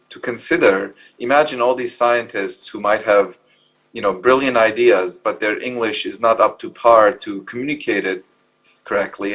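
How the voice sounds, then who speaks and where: A man from Lebanon who is not an English speaker, talking in a reflective, measured way as a scientist on a panel. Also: lowish voice, a bit bright, low-quality recording. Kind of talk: thoughtful panel discussion. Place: live panel event in a hall.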